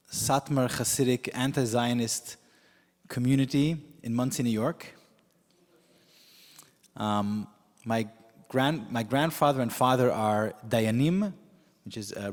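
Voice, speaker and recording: A man whose first language is Yiddish, preaching as a rabbi in a measured storytelling way with frequent pauses. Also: medium pitch. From United States. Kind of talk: sermon. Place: synagogue sanctuary with long reverb. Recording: reverberant hall.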